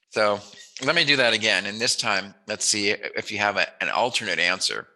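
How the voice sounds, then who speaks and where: A man's voice: on the low side, normal speed, pushed and bright, slight background noise, low-quality recording. A man hosting a casual chat interview, talking in a playful, jokey, musing way. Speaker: interviewer, host.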